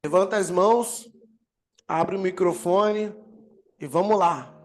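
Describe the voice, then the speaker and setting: A man with a Brazilian accent, an apostle teaching a healing course over a video call, energetic and emphatic as he answers questions. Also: high voice, takes it slowly. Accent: Brazilian Portuguese. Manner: energetic preacher, swells and emphasizes key words. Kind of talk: live online teaching with Q&A.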